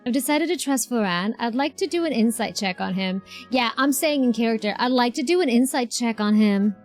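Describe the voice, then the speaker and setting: A woman's voice: a little high, animated, fairly quick. A woman streamer giving casual, informal live reaction commentary in a chatty way. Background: game music.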